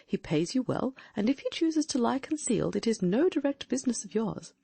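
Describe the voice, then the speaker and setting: A woman's voice: fairly high pitch, big pitch swings, fairly quick, gravelly, dark tone, husky, dry recording, exaggerated voice. A woman voicing a brilliant, confident Victorian detective in an audiobook, reasoning aloud with precise diction. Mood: deducing. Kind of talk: audiobook dramatic reading.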